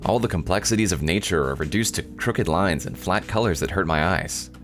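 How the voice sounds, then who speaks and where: A man's voice: sits low, animated, fairly quick, music in the background. A man voicing an angsty, self-pitying teenage schoolboy, the overwrought hero of a visual novel. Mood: bewildered, alarmed. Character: angsty, melodramatic teenage anime schoolboy protagonist. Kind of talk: visual novel read-aloud.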